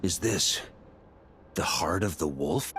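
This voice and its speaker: A man's voice: low voice, slow, a bit bright, slight background noise. A man playing a defiant, self-assured veteran warrior in a video game, with a wry, dramatic delivery. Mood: defiant, brooding.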